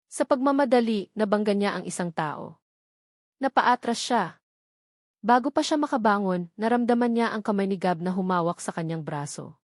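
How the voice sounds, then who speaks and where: A woman's voice: mid-range voice, lively intonation, moderate pace, dry recording. Woman narrating a romance story, dry close sound.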